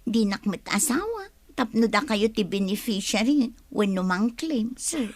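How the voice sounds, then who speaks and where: A woman's voice: fairly high pitch, expressive, a little slow, no room sound. A woman playing an attentive, deferential employee in a Philippine radio drama. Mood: sly, coaxing.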